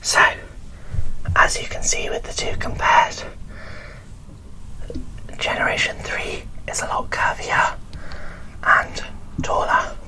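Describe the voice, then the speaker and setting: A woman whispering in a breathy, barely voiced ASMR style, presenting dolls with gentle, deliberate phrasing into a close microphone. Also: low-quality recording. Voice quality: breathy whisper with little voicing. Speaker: doll collector presenting an unboxing.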